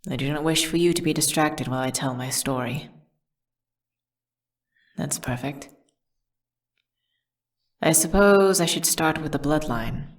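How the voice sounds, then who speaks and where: A woman playing a world-weary, guilty witch prisoner confessing her story in a dramatic ASMR audio roleplay, measured and theatrical with breathy, whispery dips. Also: low voice. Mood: wry, resigned, then wistful storytelling.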